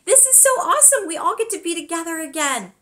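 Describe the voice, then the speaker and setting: Woman acting storybook young girl, high pitch, bright, bubbly, eager, emphatic, cheerful. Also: sing-song, fast talker, full character voice. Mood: excited. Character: excitable, cheerful young girl on summer holiday.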